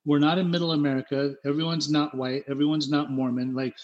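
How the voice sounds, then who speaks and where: Halting, storytelling man guest. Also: a little high, highs cut off. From born in Germany, raised in Utah. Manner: halting, repeats words often, animated when retelling scenes. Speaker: interview guest. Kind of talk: candid conversational storytelling.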